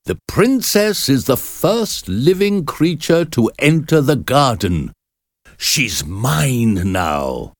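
A man's voice: lowish voice, huge pitch swings, takes it slowly, dry recording, clean studio sound, exaggerated voice. A man voicing a commanding, emphatic astrologer and magician in a storybook. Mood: triumphant. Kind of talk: storybook character reading.

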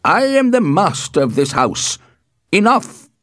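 A man's voice: sits high, sing-song, unhurried, fairly bright tone, no room sound, studio quality, pitched above the performer's own voice, full character voice. A man voicing an angry, commanding older nobleman in a storybook, scolding with authority.

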